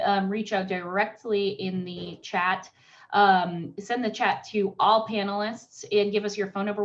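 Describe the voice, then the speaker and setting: A woman moderating a webinar Q&A, upbeat as she reads out audience questions and hands them to panelists. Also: medium pitch. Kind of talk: moderating a live Q&A. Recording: dull, lo-fi web call audio.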